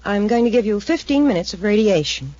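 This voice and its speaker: A woman's voice: mid-range voice, very animated, brisk, darkish tone, no room sound, close to an everyday voice. An actress playing an anxious but expert female research assistant in a 1950s radio adventure, precise and earnest. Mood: businesslike. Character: nervous, expert female research assistant in over her head. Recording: muffled 1950s radio recording.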